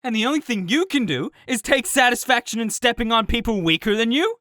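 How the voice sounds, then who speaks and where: A man's voice: very high voice, animated, brisk, bright, forceful tone, no room sound, clean studio sound, exaggerated voice. A man voicing a bitter, hot-tempered young student in a comic dub, scolding and contemptuous.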